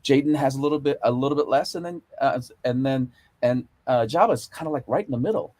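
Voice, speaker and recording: Middle aged american guy on a panel, emphatic, bit raspy, dry sound. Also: mid-range voice, fairly quick, a little mellow, dry recording. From born in Korea, moved to America young. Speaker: panelist, TV series consulting producer. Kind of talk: unscripted panel discussion.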